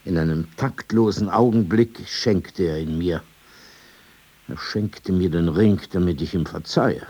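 Husky, sardonic old man. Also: sits low, unhurried, darkish tone. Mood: wry, contemptuous. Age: older. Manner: sardonic, digressive, dismissive. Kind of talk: radio drama dialogue.

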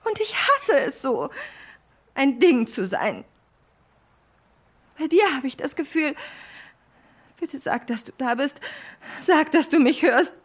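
An actress in a radio drama playing a fragile, lonely young film star with a breathy, halting, pleading way of speaking. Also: female voice, sits high, big pitch swings, exaggerated voice. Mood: desperate pleading.

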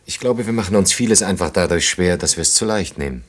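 Down-to-earth man, very low voice, restrained, formal, wistful, understated radio drama dialogue. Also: fast talker. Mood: reflective. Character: sober, down-to-earth man, politely wistful and reserved.

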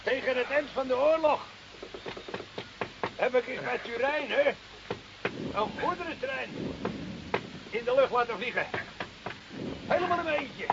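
An actor playing a cocky, reckless dynamite enthusiast in a radio drama, boastful and excitable. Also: male voice, very high-pitched, a little slow, gravelly, pauses often, full character voice. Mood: gleeful, boastful. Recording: muffled, noisy old radio play recording.

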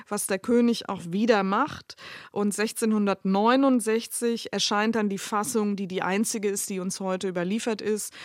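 A woman speaks as an expert being interviewed, explaining with emphasis. Her voice is medium in pitch, and the recording is dry with little room sound. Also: no echo. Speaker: expert commentator. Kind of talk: interview explanation of literary history.

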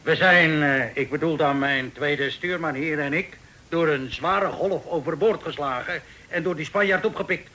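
A man's voice: fairly high pitch, normal speed, pushed and bright. A man acting a talkative, folksy Dutch sea captain of forty-five in an old radio play. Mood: chatty, respectful. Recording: old radio recording with hiss.

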